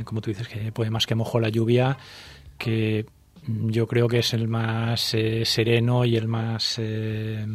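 Measured, even-toned male poet. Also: lowish voice. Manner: measured, thoughtful, frequent hesitations. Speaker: poet, guest. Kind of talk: radio interview answers.

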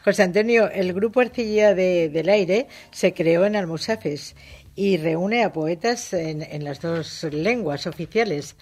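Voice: medium pitch, unhurried, a little mellow. A radio interviewer asking questions in a courteous, inquisitive way.

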